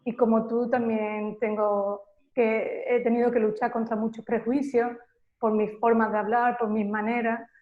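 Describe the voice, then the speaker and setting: Woman host, Andalusian accent, flat even pitch, roomy phone-like sound. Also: moderate pace. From Seville, Andalusia, Spain. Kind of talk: conversational interview. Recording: echoey, tinny call audio.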